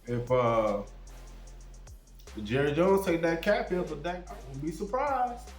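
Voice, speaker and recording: Sniffly sports-fan host in a roomy space. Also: male voice, on the high side, lively intonation, a little slow. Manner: loose, slangy, swears freely. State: sniffly with a cold. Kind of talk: casual sports commentary. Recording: roomy sound with faint music.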